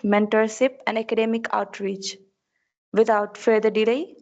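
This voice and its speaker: A woman's voice: medium pitch, darkish tone. Woman host, formal scripted intro, muffled lo-fi audio. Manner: formal, reads from a prepared script. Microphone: computer mic on a video call.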